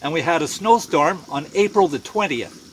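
A man's voice: on the high side, lively intonation, moderate pace, a little hiss or hum, poor audio. An older man hosting a casual outdoor vlog, easygoing and chatty, saying "eh" now and then. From Canada. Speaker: channel host. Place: outdoors at a night campfire in the woods.

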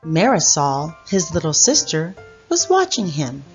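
Slow, expressive middle-aged woman storyteller. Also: sits low, dull audio, faint music behind. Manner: deliberate, expressive storyteller delivery. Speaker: storyteller, host of read-aloud channel. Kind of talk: children's picture book read-aloud.

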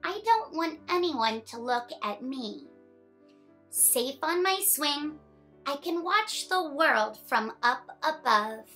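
A young woman reads aloud for children as a story time host. Her voice sits high and bright, and she takes her time with each phrase. The delivery is animated and expressive, suited to very young listeners. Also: slow, faint music behind. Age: young adult. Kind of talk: picture book read-aloud for children.

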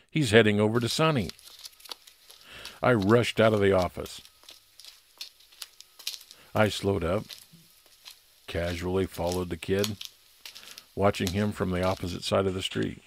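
A man as a low, gravelly private eye narrator. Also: a little hiss or hum. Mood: urgent. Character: Billy, low-voiced 1940s private investigator narrating his case. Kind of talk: old time radio detective narration.

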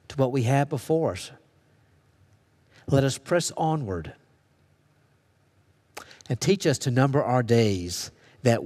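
A man's voice: mid-range voice, darkish tone. An American man preaching a sermon as a pastor, telling stories conversationally and acting out bits of dialogue. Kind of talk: sermon with storytelling. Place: church sanctuary. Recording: long reverberation of a large hall.

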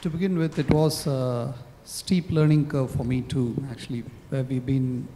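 Man in his thirties, very dark mellow tone, handheld mic, reverberant hall. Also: mid-range voice, fairly even intonation, normal speed. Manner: thoughtful, hesitant starts. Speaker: surgeon, panelist. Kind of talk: conference panel answer. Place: large conference hall, seated on stage. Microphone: handheld microphone. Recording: reverberant hall with faint noise.

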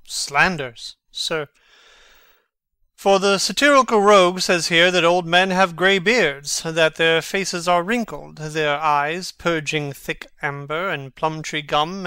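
A man's voice: fairly high pitch, sharp tone, smooth, no room sound. A man playing a mocking, brooding prince who feigns madness, theatrical and sardonic in a Shakespearean dramatic reading.

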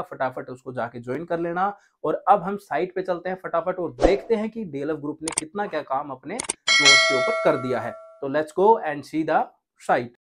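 A man's voice: on the high side, a bit bright. A young man in his twenties hosting a real estate channel, talking in a casual, chatty way and dropping English phrases into his speech, recorded on a lapel mic in a studio. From India. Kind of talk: casual Hinglish property update. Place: indoor studio set with bookshelves.

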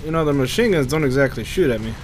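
A man plays a video game and talks his way through it in a casual voice. He thinks out loud and swears freely as he reacts. His pitch sits in an ordinary middle range. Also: lively intonation, quick pace, slight background noise. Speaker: gamer recording a playthrough. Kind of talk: casual gameplay commentary.

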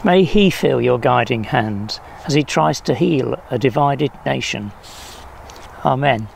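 Slow, gravelly older man reading prayers. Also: mid-range voice, animated. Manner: solemn, measured phrasing. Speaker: prayer leader. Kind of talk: reading prayers at a church service. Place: outdoors in a leafy field or garden. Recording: faint outdoor background noise.

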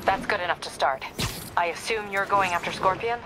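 A woman's voice: medium pitch, fairly quick, natural voice. A woman playing a dry, businesslike police officer in a video game, wry and matter-of-fact. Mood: wry, calm. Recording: voice with music behind.

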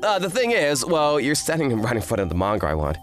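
A woman voices a kind, earnest teenage anime boy, lowish for a female voice and very lively in intonation. He is an excitable, exclamatory type from a romantic comedy dub, with music behind. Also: brisk, heavily acted. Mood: friendly, eager.

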